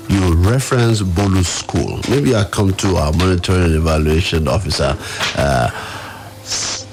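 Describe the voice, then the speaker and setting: A man's voice: low-pitched, poor audio. A man with a Nigerian accent hosting a conversational radio discussion. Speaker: radio host.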